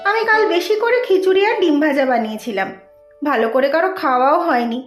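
A woman voicing an anxious, caring village wife in a cartoon, expressive and homely, with a roomy echo. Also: high voice, quick pace, soft background music. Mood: relieved, warm. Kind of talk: Bengali cartoon voice acting.

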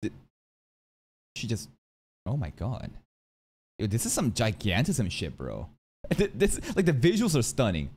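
A young man doing excitable livestream reaction commentary, slangy and crude in his joking, speaking close to a boom-arm mic. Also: a little slow, pauses often, husky. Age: young adult. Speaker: streamer reacting to a game trailer. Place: small indoor room. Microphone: boom-arm microphone up close.

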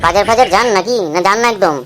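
A woman's voice: medium pitch, very fast, a bit bright, slight background noise, natural voice. A woman playing a chatty, naive, cheeky clinic patient in a comic cartoon, wordy and colloquial. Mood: complaining. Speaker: cartoon voice actor. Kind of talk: comic Bengali cartoon dialogue.

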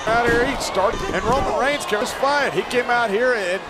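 A man's voice: very high voice, normal speed, loud music behind the voice, over a noisy crowd. A man giving color commentary on a wrestling match, with opinionated, approving remarks.

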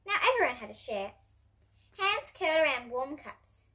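A young girl of about ten to twelve reading a children's storybook aloud in an expressive way. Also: high voice, normal speed. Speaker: host reading picture books for kids. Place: indoor room in front of bookshelves.

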